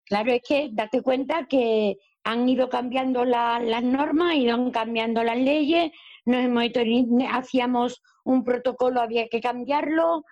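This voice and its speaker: A woman's voice: fairly high pitch, a little slow, darkish tone, lo-fi sound. A woman with an Andalusian accent who coordinates groups at a campsite, explaining things step by step in an easygoing way, with many fillers. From Andalusia, Spain. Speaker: campsite group coordinator and social media manager. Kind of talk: casual interview answers.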